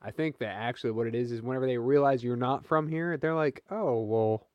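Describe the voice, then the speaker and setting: A man's voice: mid-range voice, fairly even intonation, fast, dry recording, lo-fi sound. A man with an American accent co-hosting a podcast in casual, joking conversation. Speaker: podcast co-host.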